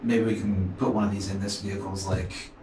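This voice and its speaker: A man's voice: low voice, little pitch movement, brisk, dark tone, slight background noise. A man talking casually as a player in a tabletop game. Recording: echoey room, chatter behind.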